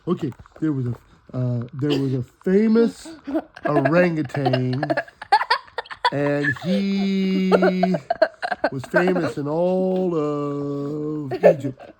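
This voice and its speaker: A man's voice: on the high side, very slow, background noise. A man voicing a sleepy, muddled wombat who stumbles hesitantly through stories in an improvised puppet show. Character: drowsy, bumbling wombat puppet, a muddled storyteller.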